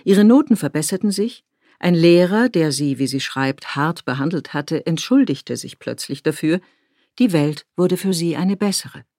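A woman from Tyrol, narrating a documentary feature in a measured, reflective way. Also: deep voice, expressive, warm tone, no echo, studio quality. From Tyrol, Austria.

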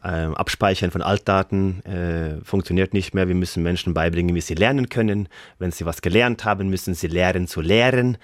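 A man's voice: sits low, a little fast, few pauses, no room sound, studio quality. A man with a Norwegian accent, a German-speaking guest on a radio interview, talking earnestly with many hesitations. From Norway.

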